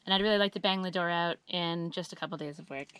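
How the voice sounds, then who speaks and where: A woman giving a chatty, upbeat monthly update on her homestead work, her voice a little scratchy and hoarse, with frequent ums and uhs. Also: fairly low pitch, fast, fairly bright tone. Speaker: homesteading vlogger. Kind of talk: casual monthly update vlog.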